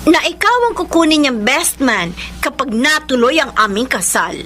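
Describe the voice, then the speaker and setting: A woman's voice: high voice, very animated, moderate pace, over loud music. A woman with a Filipino accent playing a scheming, brazen, self-assured ex-girlfriend in a radio drama. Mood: smug, confident. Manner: brazen, confident. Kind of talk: Tagalog radio drama acting.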